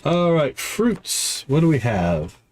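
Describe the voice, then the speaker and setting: A man's voice: on the high side, very animated, slow pace, a little mellow. A man livestreaming a video game, casually thinking aloud as he plays. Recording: clear background noise.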